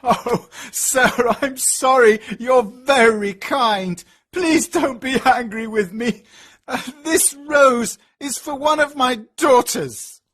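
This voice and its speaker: An anxious old father pleads and frets in a storybook reading, as a reader voices him. His voice sits a little higher than the narration, swings up and down widely, and comes out soft and breathy at an unhurried pace. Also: huge pitch swings, heavily acted. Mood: pleading. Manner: pleading, anxious, with rising, lifted pitch. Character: worried old father of grown children.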